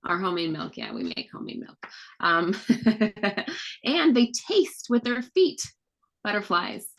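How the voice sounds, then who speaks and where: A woman's voice: medium pitch, wildly swooping pitch, unhurried, gravelly, fairly bright tone. A woman and mother, a children's book author, chatting casually on a video call and teaching children with questions and fun facts. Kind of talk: casual read-aloud talk with children.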